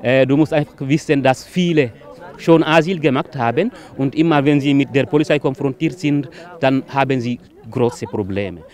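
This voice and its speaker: A man's voice: medium pitch, normal speed, darkish tone. A man who organized the protest, explaining its reasons earnestly and often starting with a hesitant sound. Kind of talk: street interview answers.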